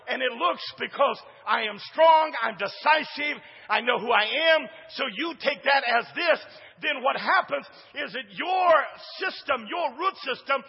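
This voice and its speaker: A man preaching a sermon as pastor in a church, with a big booming voice that swings from hushed asides to shouting and calls out to the congregation for responses. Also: creaky, in a large hall, highs cut off. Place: church sanctuary.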